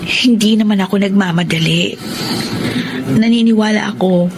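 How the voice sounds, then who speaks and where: A woman's voice: mid-range voice, normal speed, background noise, lightly acted. An actress playing a sweet, hopeful young woman in love in a Tagalog radio drama. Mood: tender.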